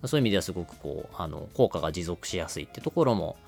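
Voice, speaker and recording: Low-pitched, quick, animated male podcast explainer. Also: gravelly, faint music behind. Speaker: podcast co-host explaining marketing. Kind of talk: conversational explanation on a business podcast.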